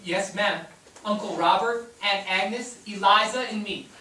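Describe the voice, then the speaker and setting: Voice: medium pitch, measured pace, bright, forceful tone. A performer playing a polite, defiant twelve-year-old immigrant boy in a staged reading. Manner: respectful, clipped answers, stubborn when pressed. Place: theatre stage. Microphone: distant microphone. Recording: distant, echoey stage with noise.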